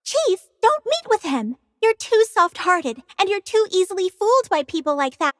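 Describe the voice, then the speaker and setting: A woman's voice: very high-pitched, big pitch swings, moderate pace, dry recording, clean studio sound. An actress playing a young female aide who is protective of her chief in a game. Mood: indignant. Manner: exasperated, then gently caring. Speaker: assistant to the chief. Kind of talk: game cutscene voice acting.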